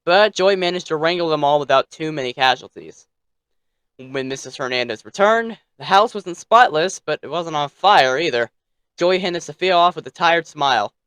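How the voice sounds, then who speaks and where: A man's voice: a little high, expressive, moderate pace, a bit bright, smooth, no room sound. A man telling a bedtime story as narrator, theatrical, with playfully snippy asides.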